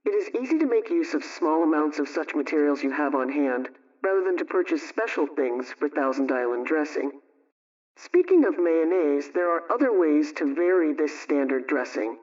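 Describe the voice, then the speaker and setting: A man with a fairly high voice reads household advice from a script, sounding somewhat far from the microphone. His delivery is measured and instructional, and the radio sound is dull and muffled. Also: animated, a little mellow. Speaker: radio homemaking program presenter.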